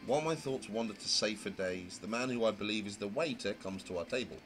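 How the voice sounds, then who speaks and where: A man's voice: on the low side, brisk, fairly bright tone, close to an everyday voice. A man voicing a naive, chatty, prim young newcomer who narrates a visual novel in a wordy, rambling way. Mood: disapproving, wary. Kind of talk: amateur visual novel voice acting, first-person narration. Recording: music under the voice. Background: music.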